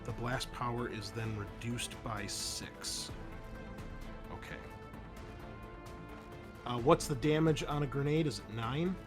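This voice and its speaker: A man running a tabletop roleplaying game as game master, explaining rules in a halting way and thinking aloud. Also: mid-range voice, steady tone. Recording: loud background music. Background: music.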